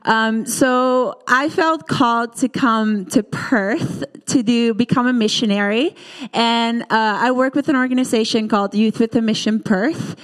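A woman in her thirties from the Netherlands with a Dutch accent, giving a personal testimony in church in an earnest, halting way with many fillers. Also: a little high. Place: church hall. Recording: reverberant church hall.

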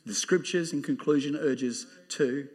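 A man with an Australian accent and a loud, booming voice, preaching an emphatic sermon to a church congregation. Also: measured pace, slightly rough. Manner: emphatic, laughing, exclaiming amen. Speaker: pastor.

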